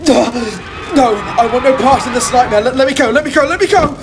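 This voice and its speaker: A terrified narrator of a gothic horror tale. His delivery is halting and full of dread, stumbling over words. Music plays behind him. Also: extremely high pitch, crowd noise. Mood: panicked pleading. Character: terrified male narrator summoned to an ancient family rite. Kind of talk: gothic horror audio drama acting.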